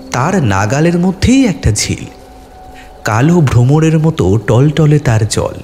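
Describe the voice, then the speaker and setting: A man narrating a scripted, atmospheric first-person story in a deliberate, literary, expressive manner. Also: medium pitch.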